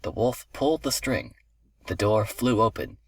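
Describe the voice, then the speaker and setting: A man's voice: fairly low pitch, big pitch swings, normal speed, dry recording. A man reading a scripted fairy tale for children as a storyteller.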